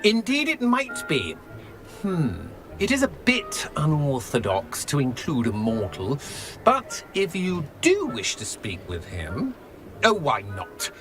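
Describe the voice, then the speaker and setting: An actor playing a teasing, obliging ghost in a video game, with a grand, drawn-out delivery. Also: male voice, a little high, wildly swooping pitch, over music, heavily acted. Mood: amused, obliging. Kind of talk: video game character acting.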